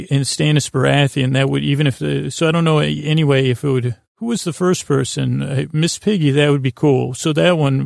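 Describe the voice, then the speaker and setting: Very creaky, gravelly man rambling softly along. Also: mid-range voice, no echo, clean studio sound. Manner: meandering, halting, self-deprecating. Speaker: podcast host. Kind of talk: rambling bedtime monologue.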